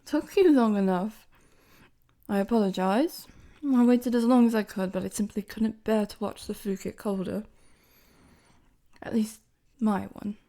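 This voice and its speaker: A woman playing a gentle, playful young queen in an intimate audio roleplay, speaking politely and formally in a soft, breathy, often near-whispered voice. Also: mid-range voice, measured pace, pauses often, lightly acted. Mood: apologetic, lightly teasing.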